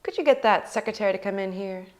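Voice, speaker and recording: A woman's voice: medium pitch, fast, close to an everyday voice. A woman playing a brash, scheming company shareholder in a drama table read, speaking with a commanding, condescending and mocking manner. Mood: mocking, confident. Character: brash, scheming female shareholder challenging an all-male board.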